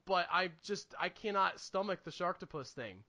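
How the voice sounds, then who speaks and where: A man's voice: high-pitched, expressive, normal speed, sharp tone. A man co-hosting a podcast in casual chat, with a wry, opinionated and mildly sweary manner. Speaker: podcast co-host reviewing movies.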